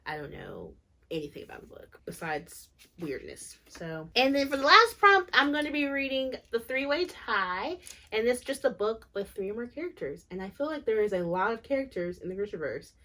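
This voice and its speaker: Female book vlogger, bright forward tone, fairly high voice, informal, playfully blunt co-host. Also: big pitch swings, normal speed. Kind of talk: casual chatty reading-list vlog.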